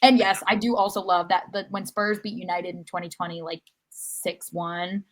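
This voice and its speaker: A woman's voice: on the low side, flat delivery, normal speed. Enthusiastic woman hosting a sports podcast. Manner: enthusiastic, chatty. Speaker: podcast host. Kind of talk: casual sports podcast chat.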